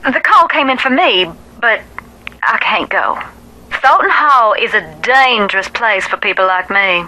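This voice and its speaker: A woman's voice: on the high side, animated, a little slow, sharp tone, rough recording. An actress playing a grave, superstitious woman in a video game, earnest, folksy and cautioning, heard through a telephone filter. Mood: grave, urgent. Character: grave, superstitious woman urgently asking for help.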